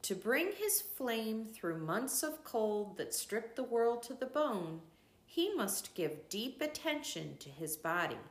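Older female narrator for literary nature readings; unhurried, animated intonation, roomy echoing sound. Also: on the high side. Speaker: reader of a nature devotional book. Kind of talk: scripted narration, literary read-aloud.

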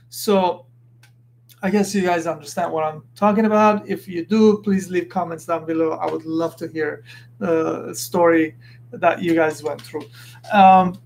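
A man talks through a motivational podcast monologue. He is earnest and unscripted, and keeps pausing and restarting as he hunts for the right words. A faint hiss sits under the voice. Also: sits high, gravelly, a little mellow. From Lebanon. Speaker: podcast host.

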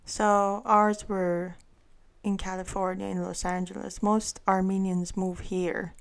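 Slow, gravelly woman from Iran. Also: mid-range voice, level tone. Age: 30s. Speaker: guest artist. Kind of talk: interview answers.

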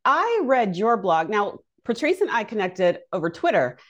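A woman's voice: mid-range voice, sing-song, normal speed, muffled recording. A woman co-hosting a podcast, introducing guests in a chatty, informal way and laughing easily. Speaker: podcast co-host.

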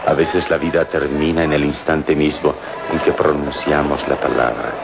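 A fearless, philosophical hero of an old radio adventure serial. His voice is extremely low, with a measured and solemn delivery. The sound is muffled and buried in heavy noise. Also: flat delivery, gravelly. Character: calm, wise, fearless male hero adventurer and protector.

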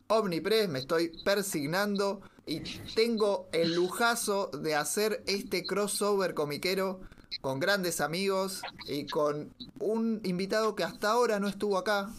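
Argentine male podcast host, high register, casual chat, slight noise behind. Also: fairly bright tone.

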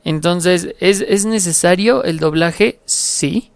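Measured co-host, crisp voice, mid pitch. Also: no echo. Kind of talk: casual chat.

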